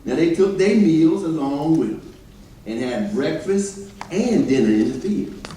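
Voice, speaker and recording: Man acting old formerly enslaved storyteller, fairly high mellow voice, emphatic, stage monologue. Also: expressive, unhurried. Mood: solemn recollection. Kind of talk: readers theater monologue. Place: church hall stage, recorded from afar. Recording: distant, very echoey hall, faint noise.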